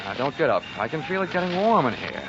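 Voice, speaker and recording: An American actor playing a wisecracking, sardonic, tough-talking private investigator in a 1940s radio drama. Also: male voice, on the high side, sing-song, fast talker, a bit bright, a little hiss or hum. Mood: taunting, pressing. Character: brash wisecracking hard-boiled male private investigator. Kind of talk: 1940s radio acting, first-person detective narration. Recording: dull lo-fi 1940s radio recording, music.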